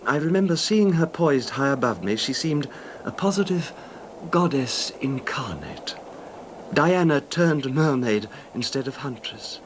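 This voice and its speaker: An actor playing a courteous, besotted middle-aged rich husband in a 1970s radio drama, speaking haltingly with reflective pauses. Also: male voice, mid-range voice, lively intonation, slight background noise, natural voice. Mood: nostalgic.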